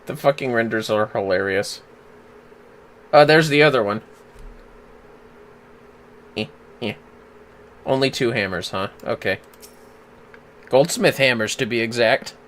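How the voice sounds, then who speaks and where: A man's voice: medium pitch, measured pace, pauses often, a bit bright, slight background noise. A man hosting a casual game livestream, commenting in an amused, sweary, wry way. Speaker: livestream host. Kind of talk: casual game commentary, reading dialogue aloud.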